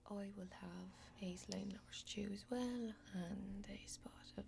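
A young woman playing a self-assured, mysterious warrior woman in a whispered fantasy ASMR role-play, with a creaky voice. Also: mid-range voice, steady tone, unhurried, darkish tone. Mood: cool, confident. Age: young adult.

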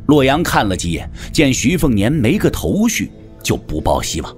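A man's voice: mid-range voice, big pitch swings, normal speed, soft background music. A man giving a dramatic scripted narration of a martial arts story.

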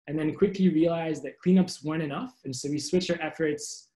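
Man, twenties, from Indonesia, fairly high voice, fluent self-introduction, panelist, video call. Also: a little fast, warm tone. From grew up in Indonesia. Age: 20s. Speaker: panelist, environmental activist. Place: light room at home, indoors.

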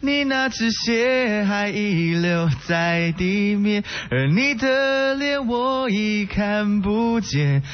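A man's voice: high-pitched, lively intonation, very slow, few pauses, exaggerated voice. A man playing a theatrical young drama club student in an idol radio drama. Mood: melodramatic. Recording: dull lo-fi audio, faint music.

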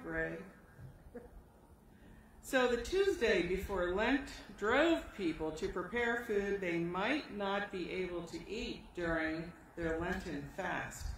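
A woman's voice: medium pitch, a bit bright. An older American woman pastor giving a sermon in a conversational, wryly humorous way, outdoors at a lectern. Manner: wry, dryly humorous. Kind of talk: sermon, read with conversational asides. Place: outdoors at a lectern outside a church. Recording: very echoey, distant, clearly noisy outdoor recording.

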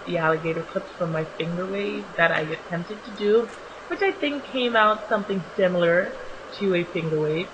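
A young woman with a slightly raspy, just-woken-up voice, narrating her makeup tutorial in a relaxed, chatty way with many ums. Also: fairly low pitch, noisy background. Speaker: beauty vlogger. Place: small home bathroom.

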